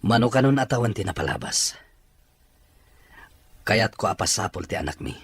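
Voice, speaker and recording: A man's voice: fairly low pitch, animated, moderate pace, slightly rough, exaggerated voice. A man playing a troubled businessman searching for his child in a serial drama. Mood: terse, frustrated. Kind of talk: Ilocano radio-style serial drama acting.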